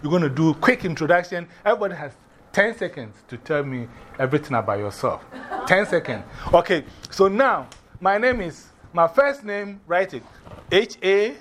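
Ghanaian male teacher, animated classroom delivery, high pitch, faint classroom ambience. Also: moderate pace, a little hiss or hum. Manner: lively, repeats and spells out words, laughs often.

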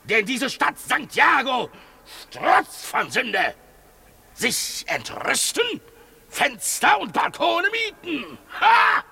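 A woman playing a fanatical preacher in a 1950s German radio drama, shouting harsh, raspy oratory against sin. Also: sits high, big pitch swings, slow, heavily acted. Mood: fanatical fury. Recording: old radio recording with crowd behind.

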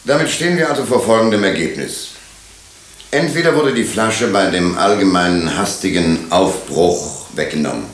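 An actor playing a courteous, methodical police inspector who questions suspects formally in a radio drama. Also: male voice, sits low, lively intonation, a little hiss or hum. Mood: grave, formal. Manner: formal, probing, deliberate. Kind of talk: German radio drama acting. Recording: roomy, echoing radio drama studio.

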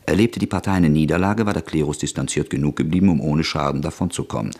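A man narrating an old radio drama in a measured, sober tone. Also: a bass voice, a little mellow, husky.

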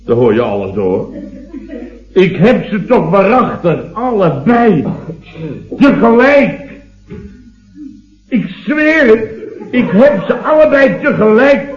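A grumbling, boastful boss, roomy recording. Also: male voice, high voice, sing-song, soft background music, heavily acted. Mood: exasperated. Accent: Dutch, from the Netherlands. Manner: indignant, drawn-out storytelling with repeated tag words. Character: grumbling, boastful male building-firm boss spinning weekend yarns. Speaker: actor in a radio comedy serial. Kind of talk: radio comedy acting, anecdotal monologue. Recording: dull, roomy vintage radio recording.

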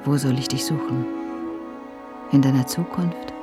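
An actress playing a tender, longing woman in a radio play, giving a poetic monologue in a lyrical, sometimes hushed way. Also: a bass voice, steady tone, unhurried. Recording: ambient music under the voice. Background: soft new-age music.

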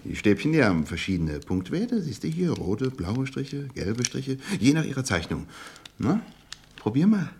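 An actor playing an effusive, ingratiating bachelor businessman in a German radio play, with a husky voice and a gushing, hesitant manner. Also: medium pitch, sing-song, moderate pace, a little mellow, slight background noise. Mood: jovial, coaxing. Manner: gushing, hesitant, chuckling between phrases. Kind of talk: naturalistic German radio drama acting.

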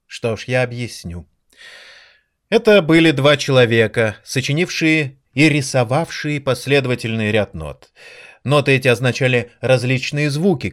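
A man's voice: medium pitch, natural voice. Man playing a theatrical, swooping, cultured piano maestro. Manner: theatrical, ironic, lecturing. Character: cultured male piano maestro, condescending and passionate. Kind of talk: audio drama acting.

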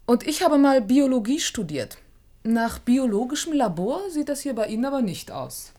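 An actress playing a curious, outspoken woman adventurer in a German science fiction radio drama, with a direct manner and slightly husky voice. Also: high-pitched, big pitch swings, moderate pace, warm tone, no room sound. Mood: challenging, indignant. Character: curious, outspoken female adventurer with biology training.

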